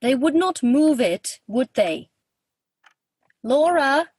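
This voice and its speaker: A woman's voice: high-pitched, expressive, slow pace, a little mellow, no room sound. A woman acting in a radio play as an awkward, earnest female Grim Reaper who speaks in stiff, formal, literal phrases. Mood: earnest, unsure.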